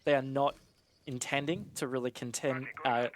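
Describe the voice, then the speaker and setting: A man's voice: medium pitch, fairly even intonation, normal speed. A man giving conversational, analytical commentary on a live esports match. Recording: game gunfire and clicks behind voice. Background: game sound with gunshots.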